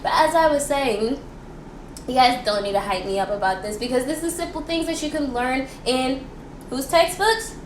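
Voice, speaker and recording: A woman's voice: sits high, normal speed. A young American woman with a playful, theatrical, slangy manner, chattily presenting history as a student host and throwing out rhetorical questions. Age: young adult. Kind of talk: chatty, informal history presentation for a school project. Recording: echoey room with faint background noise.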